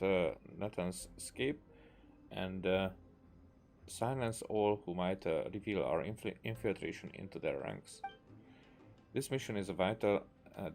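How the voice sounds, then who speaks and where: A low-pitched man hosts a gaming video, speaking casually. He reads on-screen text haltingly and mutters short reactions, with game music playing behind him. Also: darkish tone. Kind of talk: casual gameplay commentary and reading game text aloud.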